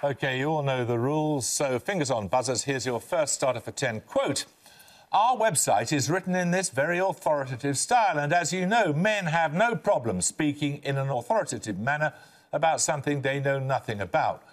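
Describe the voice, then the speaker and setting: Crisp, authoritative older quiz host. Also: male voice, a little high, lively intonation, normal speed, a faint crowd. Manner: crisp, clipped, authoritative delivery. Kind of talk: quiz show hosting. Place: television studio.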